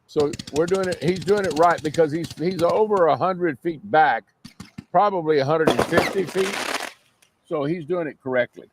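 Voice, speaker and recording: Male interviewee, vendor type, creaky, slightly high, plain and halting. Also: noisy background. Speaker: fireworks seller interviewed. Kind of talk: informal TV interview.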